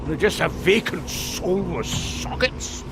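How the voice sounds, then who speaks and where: A man's voice: high-pitched, animated, slow, background noise. An actor playing a shifty, blustering male dwarf treasure hunter in a comic fantasy game, evasive and full of excuses. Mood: sheepish, ominous. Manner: evasive, self-excusing, hesitant asides.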